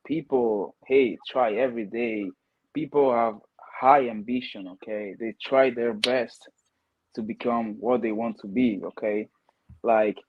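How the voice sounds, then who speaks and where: A man's voice: medium pitch, no room sound, lo-fi sound. A young man with a heavy Italian accent, a guest answering earnestly and often checking in with "okay?". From Italy. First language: Ghanaian language. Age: young adult. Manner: earnest, often tags lines with "okay?" and restarts words. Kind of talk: casual interview answers.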